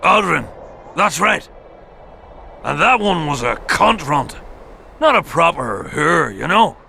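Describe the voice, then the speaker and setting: A boisterous, muddled drunk soldier for a video game. His words slur and ramble, crude and loud, with pitch leaping about wildly and a bright, forceful edge. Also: sing-song, slow pace, gravelly, a little hiss or hum, heavily acted. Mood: confused, crude. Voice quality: slurred.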